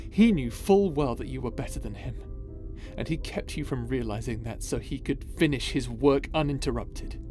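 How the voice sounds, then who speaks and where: A man's voice: mid-range voice, normal speed, natural voice. A man playing an impassioned space pirate captain in an audio roleplay, with a dramatic, emotive delivery full of sighs. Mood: earnest, emphatic. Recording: voice over background music.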